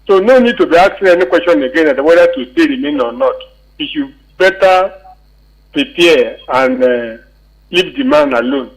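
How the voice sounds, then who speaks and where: A man's voice: fairly high pitch, lively intonation, normal speed, gravelly, poor audio. A man with a Nigerian accent calling in to a radio show to give his opinion, earnest and insistent, repeating himself. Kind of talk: giving opinion on a call-in show.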